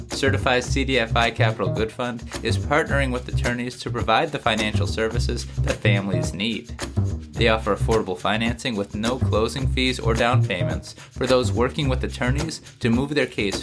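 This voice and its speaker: Man with a cold hosting a podcast, slightly nasal. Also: mid-range voice. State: has a cold. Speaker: podcast host; lawyer. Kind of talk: scripted legal news podcast narration with casual asides.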